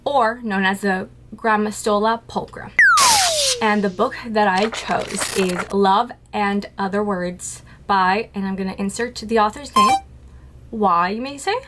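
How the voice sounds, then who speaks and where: A woman's voice: mid-range voice, fairly even intonation, unhurried, background noise. A woman talking to camera about her tarantulas, chatty and playful, asking herself questions and then answering them. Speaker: tarantula keeper vlogger. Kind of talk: casual scripted talk to camera.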